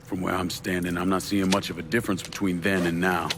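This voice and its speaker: A low-voiced, hardened American avenger. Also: male voice, level tone, fast, noisy background. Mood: cool, determined. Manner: blunt, controlled, businesslike. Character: terse, hardened, determined American man seeking revenge. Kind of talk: video game cutscene acting.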